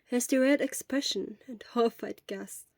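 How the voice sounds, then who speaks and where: A woman's voice: on the high side, normal speed, a little mellow, dry recording. A woman reading scripted story narration as the narrator of a fan fiction audiobook. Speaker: podfic narrator.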